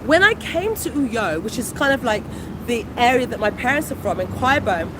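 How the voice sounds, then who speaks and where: A British woman in her forties chatting casually and excitedly on a phone livestream while out on a city street, breaking into exclamations between her thoughts. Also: fairly high pitch, moderate pace. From United Kingdom. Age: 40s. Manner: chatty, exclamatory, warm asides. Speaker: vlogger. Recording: street traffic noise behind. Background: passing traffic.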